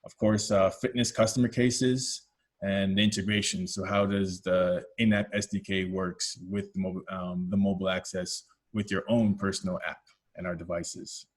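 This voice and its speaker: A man's voice: low-pitched, little pitch movement, normal speed. A man with a Canadian accent presenting a product webinar as a technical lead, explaining step by step with frequent fillers and self-corrections.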